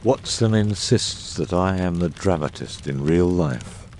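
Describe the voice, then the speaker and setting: A man voicing a grave, theatrical, masterful detective in a dramatised audiobook, with measured, eloquent and commanding delivery. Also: sits low, animated, darkish tone, slight background noise.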